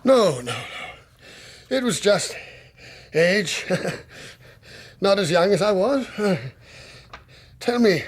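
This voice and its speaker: An elderly country squire in a radio drama, genial on the surface but haunted. His voice is high and swoops widely, slightly husky, with a courteous, faltering delivery. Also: expressive, takes it slowly, long pauses, dry recording, full character voice. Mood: shaken. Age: older.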